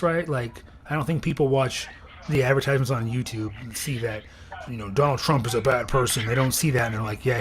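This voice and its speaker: A man with a raspy voice after recent throat surgery, hosting a casual live podcast and rambling through his thoughts with many fillers. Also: medium pitch. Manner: rambling, thinking aloud with fillers. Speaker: podcast host. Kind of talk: casual livestream chat.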